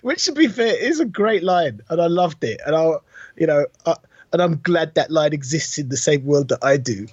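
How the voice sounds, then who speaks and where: A man co-hosting a podcast, chatting casually about films in an anecdotal way with a slightly husky voice. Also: fairly high pitch, animated, normal speed, no room sound, lo-fi sound. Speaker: podcast co-host. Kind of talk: casual film chat.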